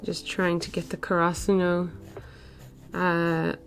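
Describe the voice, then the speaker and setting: Low-voiced young woman chatting while sketching. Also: monotone, takes it slowly. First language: Portuguese. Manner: chatty, rambling, fillers like "like" and "uh". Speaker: art vlogger. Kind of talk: casual voice-over while sketching.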